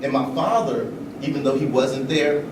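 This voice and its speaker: A man giving a personal account in an informal discussion, in short, halting phrases with pauses. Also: medium pitch. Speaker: panel speaker. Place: large room at a live event. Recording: roomy, distant mic, clear background noise.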